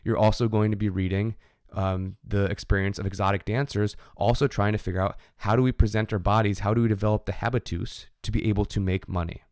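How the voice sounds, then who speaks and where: A man's voice: on the low side, steady tone, quick pace, a little mellow, dry recording, clean studio sound. A man teaching a sociology class in a conversational lecture, often checking in with "right?". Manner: conversational, frequent "right?" tag questions. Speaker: sociology instructor. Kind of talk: recorded lecture.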